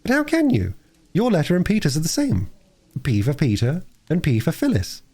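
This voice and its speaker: A man voicing a stubborn, excitable young girl in a storybook reading, quick to argue. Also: fairly high pitch, husky, pitched above the performer's own voice, heavily acted. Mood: argumentative.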